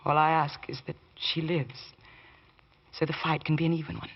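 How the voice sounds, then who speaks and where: Anguished loyal wife, breathy and emotional, 1940s radio melodrama, muffled vintage sound. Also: female voice, sits low, little pitch movement. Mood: pleading, intense. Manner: earnest, emotional, deliberate. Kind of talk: 1940s radio drama acting. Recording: dull vintage radio recording.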